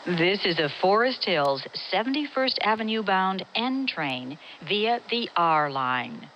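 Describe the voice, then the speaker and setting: A woman reading scripted train announcements as a transit announcer, in measured, separate phrases. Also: mid-range voice, big pitch swings, fairly bright tone, slight background noise, rough recording.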